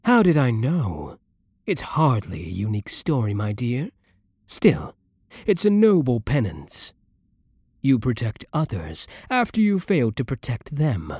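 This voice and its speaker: A man playing a seductive, menacing vampire lord in an ASMR roleplay, with a breathy voice and a silky, theatrical, condescending manner. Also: medium pitch, huge pitch swings, darkish tone, no echo, full character voice. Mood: condescending, coaxing. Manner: slow, theatrical, silky condescension with dropping murmurs. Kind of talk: ASMR roleplay, scripted monologue to the listener.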